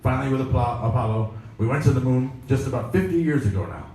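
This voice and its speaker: A man's voice: mid-range voice, lively intonation, quick pace, warm tone. Man reading aloud in a very echoey room. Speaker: editor giving a reading. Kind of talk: live reading of an interview with casual asides. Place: indoor live pop-up event at a venue. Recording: echoey, noisy room, distant mic.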